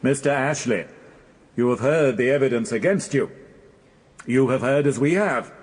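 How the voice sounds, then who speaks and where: An actor playing a stern, relentless British prosecuting barrister in a radio drama, questioning formally and deliberately. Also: male voice, mid-range voice, husky, natural voice. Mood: accusing.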